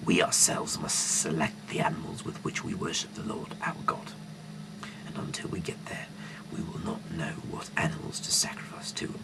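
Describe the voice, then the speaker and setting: A man with a gravelly voice that fades into a murmur reads scripture aloud haltingly, stumbling over words, with sudden emphasis and off-the-cuff asides. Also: a bass voice, level tone, in a whisper, low-quality recording. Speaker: amateur Bible reader.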